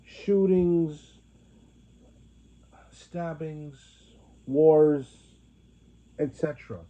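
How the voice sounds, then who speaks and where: A young man in his late twenties talks straight to his viewers, his voice sitting a little high for a man. He stops and restarts his sentences again and again, filling the gaps with fillers. The sound is thin and tinny, like a voice over a phone line. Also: mellow. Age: 20s. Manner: halting, many restarts and fillers. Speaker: vlogger. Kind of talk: rambling monologue to viewers.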